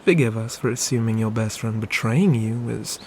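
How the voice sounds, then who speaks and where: A man playing a haughty, sadistic medieval knight in a dark fantasy ASMR roleplay, with formal, sneering, hushed delivery. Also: medium pitch, a little hiss or hum, close to an everyday voice. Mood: mocking. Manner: slow, sneering, formal speech, hushed at phrase ends.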